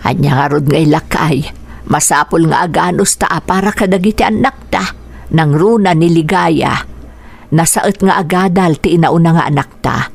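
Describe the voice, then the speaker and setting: A hardworking middle-aged Ilocano wife in a radio serial. Her voice is fairly low, weary and devoted. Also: moderate pace, creaky. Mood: weary, tender. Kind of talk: radio drama acting.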